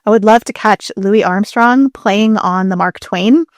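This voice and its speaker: Woman podcast cohost just chatting, mid pitched, super dry close sound, nerdy fan enthusiasm. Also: measured pace, dry recording. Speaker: podcast co-host. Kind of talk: casual chat.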